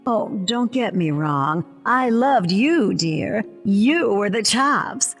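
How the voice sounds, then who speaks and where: A woman voicing a sassy, worldly, theatrical cat in an audio play, with an arch, drawling manner. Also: medium pitch. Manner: arch, drawling, calls people darling.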